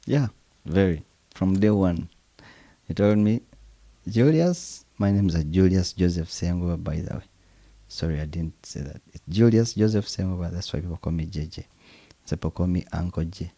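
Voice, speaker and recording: A man's voice: low voice, moderate pace, warm tone, no echo. A Ugandan man whose first language is Luganda, a percussionist chatting in an interview, chuckling and making preacherly asides. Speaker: percussionist guest. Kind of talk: relaxed interview conversation.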